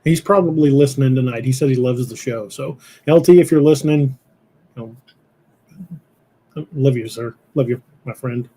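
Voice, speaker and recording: A middle-aged man hosting a live podcast, rambling and laughing, his voice a bit hoarse from shouting. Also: mid-range voice, moderate pace. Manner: rambling, self-interrupting, laughs often. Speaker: podcast host. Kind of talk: casual livestream chat. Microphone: headphones with mic on a video call.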